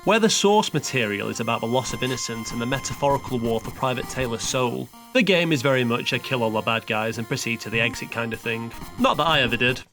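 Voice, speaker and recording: A man's voice: mid-range voice, lively intonation, quick pace, hardly any pauses, a bit bright. A man reading a scripted comedic review in a sarcastic, sweary, mock-dramatic way. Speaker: narrator of a video game countdown review. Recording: music playing behind the voice. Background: background music.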